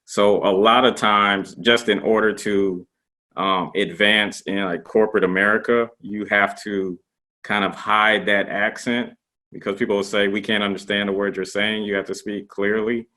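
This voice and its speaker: A man from the Gullah Geechee region hosting an interview, speaking in a relaxed way with many fillers. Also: on the low side, normal speed. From Gullah Geechee region, US Southeast. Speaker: interview host. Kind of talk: interview conversation.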